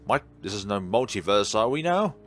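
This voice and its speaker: A man's voice: fairly low pitch, sing-song, normal speed, a bit bright. A man doing a let's-play, reading aloud and adding musing, rambling commentary of his own. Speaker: video game streamer. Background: faint background game music.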